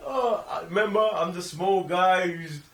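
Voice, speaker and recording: A man's voice: high voice, unhurried, a bit bright, far from the mic. A man chatting casually about film, emphatic and slangy, acting out little quotes as he goes. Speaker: film review show co-host. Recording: echoey room, off the mic.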